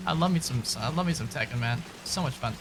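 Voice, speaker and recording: A man giving casual live gameplay commentary and chatting informally with viewers, halting and repeating himself at times. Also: medium pitch, noisy background. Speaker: game streamer.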